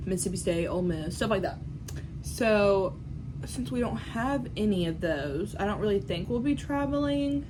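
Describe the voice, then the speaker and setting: A young woman in her late teens chats to a camera propped on a tripod at home, filling her talk with little ums and likes. Her voice sits at an ordinary pitch for a woman. A steady hiss of background noise runs under the recording. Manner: chatty, lots of "like" and "um" fillers. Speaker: vlogger. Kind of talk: casual vlog chat. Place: at home in a bedroom. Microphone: phone or camera on a tripod.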